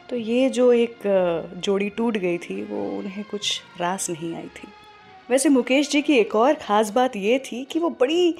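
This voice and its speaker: An Indian woman presents a radio show as a storyteller, with a medium-pitched voice. She follows a script and mixes English phrases into her narration. Soft music plays faintly behind her. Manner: Hindi mixed with frequent English phrases.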